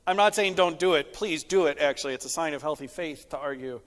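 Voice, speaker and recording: A man's voice: on the high side, fast talker, a bit bright. A man who is a pastor, talking casually about faith in a conversational, storytelling way with frequent asides. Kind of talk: casual theological discussion. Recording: echoey hall with long reverb.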